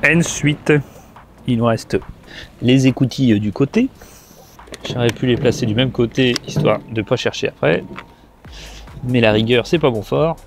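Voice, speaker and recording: Man, medium pitch, chatty product reviewer, casual step-by-step tutorial, thinking aloud, light background noise. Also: normal speed, long pauses. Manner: informal, chatty, addressing the viewer directly. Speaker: motorcycle gear reviewer.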